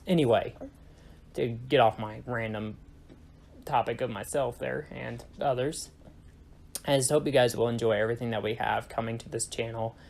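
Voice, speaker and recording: A man's voice: medium pitch, steady tone, moderate pace, vocal fry. Rambling young man hosting a gaming channel. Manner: rambling, many fillers and self-corrections. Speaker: YouTube gaming channel host. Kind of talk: casual channel intro talk.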